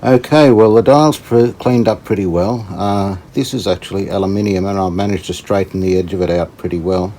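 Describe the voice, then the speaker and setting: A man giving casual hands-on repair commentary, unhurried and tentative, as if thinking aloud. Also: sits low, mellow, slight background noise, poor audio. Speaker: host of a radio repair channel.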